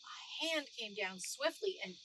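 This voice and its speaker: A woman narrating a children's storybook aloud in an expressive read-aloud style. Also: sits high, a little slow, slight background noise, low-quality recording. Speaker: storybook reader.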